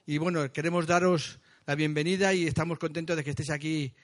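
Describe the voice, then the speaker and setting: Male church host, Spain accent, higher-than-average pitch, conversational and slightly halting, addresses the congregation with questions and announcements. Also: fairly even intonation. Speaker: church meeting leader. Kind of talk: informal church announcements, greeting visitors.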